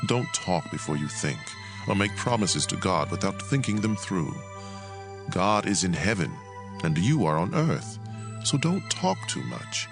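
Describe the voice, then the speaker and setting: Very deep-voiced man narrating scripture expressively. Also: big pitch swings, measured pace, vocal fry, music in the background.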